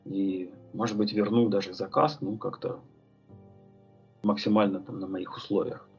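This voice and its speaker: A man's voice: on the low side, fairly even intonation, moderate pace, creaky, highs cut off, faint music behind. A man talking as a podcast guest, thinking aloud about his tattoo and painting work, rambling with many fillers and hesitations. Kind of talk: casual podcast conversation.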